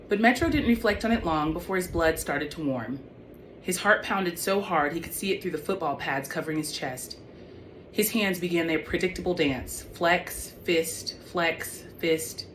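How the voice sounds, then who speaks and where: A woman with a fairly low voice reads as an author presenting her own fiction. She speaks in an audiobook style, and there is some faint noise in the recording. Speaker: author reading own book. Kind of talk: audiobook-style reading of young adult fiction. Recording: clearly noisy background.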